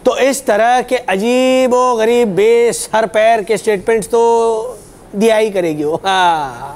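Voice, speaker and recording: A man's voice: high-pitched, slow. A man hosting a satirical news comedy show, mocking the news playfully and chuckling at his own jokes. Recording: loud studio audience and music. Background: audience laughter and music.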